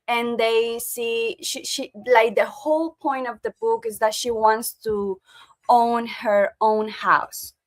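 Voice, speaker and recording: A woman's voice: fairly high pitch, steady tone, takes it slowly. A woman hosting an online book club over a video call. English is not her first language, and she talks hesitantly with many fillers and restarts. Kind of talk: casual online meeting chat.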